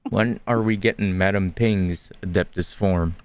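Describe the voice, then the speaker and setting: A man's voice: low-pitched, steady tone, unhurried, clean tone. A man giving offhand, wry, casual commentary as he plays a video game. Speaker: streamer playing a video game.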